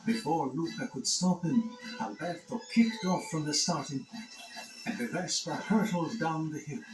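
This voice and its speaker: A man's voice: a little high, measured pace. Echoey male storybook narrator over music. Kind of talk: scripted children's read-along narration.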